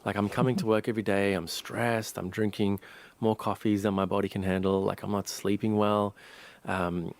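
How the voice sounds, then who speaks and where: A meditation teacher from Sri Lanka speaks in a low, deep voice. He is calm and thoughtful, with pauses and restarts as he reflects on his past. The recording is dry and close. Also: no echo. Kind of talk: reflective interview storytelling.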